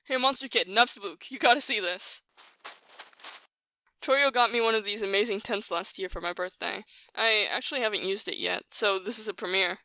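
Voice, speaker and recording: A woman's voice: mid-range voice, moderate pace, bright, forceful tone, no room sound. A woman playing a level-headed young human traveler in an audio drama, casual, dry and matter-of-fact. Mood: confident, amused.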